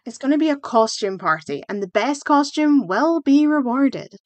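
A confident, friendly teenage schoolgirl, played by a woman in a comic dub. The voice is fairly high and poised, recorded close and dry. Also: expressive, normal speed, no echo. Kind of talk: comic fandub acting.

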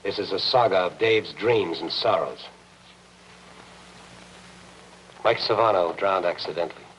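A man's voice: sits low, a little fast, fairly bright tone. An actor in his forties playing a persistent, world-weary city reporter in a 1950s noir television drama, dry and sardonic. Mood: dry, composed. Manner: dry, sardonic, hard-boiled. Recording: noisy 1950s film soundtrack.

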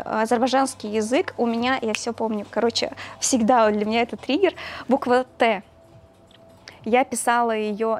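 A young woman from Russia, a doctor appearing as a guest, telling stories in a relaxed, chatty way and laughing often while recorded on a lapel mic in a small studio. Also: on the high side. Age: young adult. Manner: chatty storytelling, frequent laughter. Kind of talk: casual interview chat. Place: small studio with neon lights.

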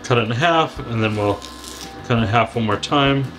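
A man's voice: medium pitch, moderate pace, over music. A middle-aged man co-hosting a cooking show, chatting casually as he chops vegetables in a home kitchen. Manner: relaxed, explains while chopping. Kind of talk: casual cooking demo chat.

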